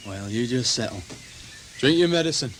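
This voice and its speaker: A man's voice: medium pitch, animated, moderate pace, slight background noise, close to an everyday voice. Actor as a plain-spoken young countryman. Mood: matter-of-fact. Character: matter-of-fact young rustic charcoal burner's son. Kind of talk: radio play acting.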